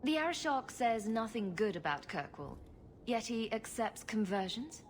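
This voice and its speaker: A woman's voice: a little high, expressive, moderate pace, lightly acted. Lady doing a dry sarcastic rogue, noisy game audio. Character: sarcastic female rogue hero, young adult.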